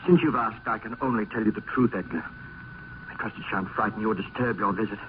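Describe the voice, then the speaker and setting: A man's voice: fast, natural voice. A man playing a nervous, courtly aristocrat in a gothic radio drama, theatrical and effusive in his speech. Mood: grave, resigned. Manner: theatrical, effusive, high-strung. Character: nervous, courtly, ailing aristocrat in gloomy mansion. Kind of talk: old-time gothic radio drama acting. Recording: muffled, faintly noisy old radio.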